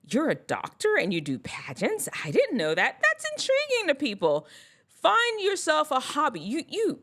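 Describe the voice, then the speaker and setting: A woman with a mixed Jamaican and American accent, talking casually to camera with strong enunciation and an animated, chatty way of giving advice. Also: sits high.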